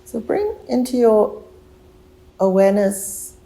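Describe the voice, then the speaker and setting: A woman teacher guides a relaxation meditation in a mellow, slightly low voice. Her delivery is very slow and gentle, with long pauses between short instructions. A creaky edge runs through her soothing tone. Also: very animated, low-quality recording.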